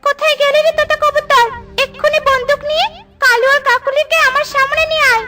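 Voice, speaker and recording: Woman voicing an imperious, very shrill peacock queen. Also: steady tone, fast, sharp tone, faint music behind. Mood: outraged. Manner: imperious, scolding, threatening. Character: stern, outraged peacock queen ruling the forest. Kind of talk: cartoon storybook acting.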